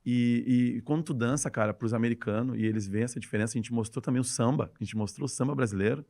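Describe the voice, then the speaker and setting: A man with a gaúcho Brazilian accent speaks at a quick clip and spills one story into the next. He is a guest on a podcast, talking about his dance work with open enthusiasm and a voice at an ordinary middle pitch. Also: darkish tone. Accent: Gaúcho Brazilian Portuguese. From Rio Grande do Sul, Brazil. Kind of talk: casual interview chat.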